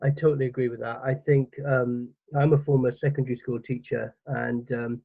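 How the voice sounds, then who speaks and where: A man's voice: mid-range voice, little pitch movement, fairly quick, vocal fry, dry recording. Eager male host, tinny phone-like line. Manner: eager, hesitant, stumbling over words. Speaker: YouTube channel host, interviewer. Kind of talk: casual interview hosting. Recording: thin phone-like sound, dry.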